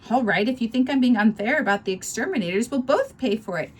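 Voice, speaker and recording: A woman reading the lines of an exasperated, fair-minded husband, with even, slightly defensive replies. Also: on the high side, fast, breathy edge, pitched above the performer's own voice.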